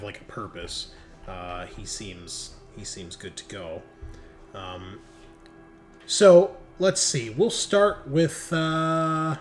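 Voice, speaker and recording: A man acting as game master, improvising narration for a tabletop horror roleplaying game in an informal way. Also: mid-range voice. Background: background music.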